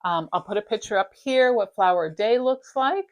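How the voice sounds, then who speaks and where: A woman hosting a cross-stitch video from her craft room, chatting casually and rambling a little, her voice slightly raspy from a dry throat. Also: mid-range voice, animated, moderate pace, a little mellow. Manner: chatty, rambling, self-interrupting. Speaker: flosstube host. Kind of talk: casual show-and-tell chat.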